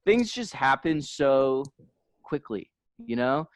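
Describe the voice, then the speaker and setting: A man's voice: mid-range voice, very animated, slow pace, no echo, clean studio sound. A man co-hosting a casual comedy podcast, riffing in slangy, profane chat. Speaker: podcast host.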